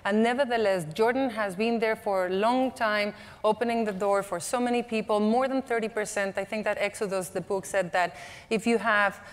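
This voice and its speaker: A woman's voice: mid-range voice, fairly even intonation, normal speed. A young woman speaking fluently and earnestly as a conference panelist. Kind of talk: panel discussion. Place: large conference hall, on stage. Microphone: lapel mic. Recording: long hall reverb.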